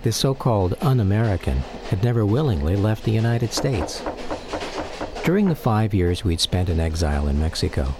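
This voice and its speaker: A man narrating a personal radio drama in a reflective, measured storytelling style. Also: fairly low pitch, background noise. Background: music.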